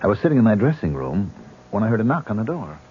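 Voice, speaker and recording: A wounded, defensive stage actor in an old radio play, with a low voice that swings widely. The sound is thin and phone-like, with a faint hiss underneath. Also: male voice, very animated, fast. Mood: guarded. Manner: guarded, then anguished and bitter. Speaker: patient. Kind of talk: 1970s radio drama acting.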